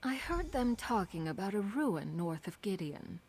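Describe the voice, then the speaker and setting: A woman's voice: medium pitch, sing-song, measured pace, darkish tone, slightly rough, full character voice. A woman voicing a courteous, wry priestess of a love goddess in a video game, serene and slightly arch. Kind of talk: video game character acting.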